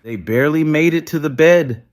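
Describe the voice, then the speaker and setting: A man narrating scripted romance fiction in a measured, deliberate way with dramatic pauses. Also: on the high side. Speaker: audio story narrator.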